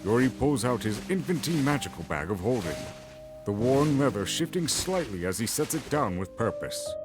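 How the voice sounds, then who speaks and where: A man's voice: mid-range voice, sing-song, normal speed. A man narrating a scripted story as a dramatic narrator. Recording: music behind the voice. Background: clear background music.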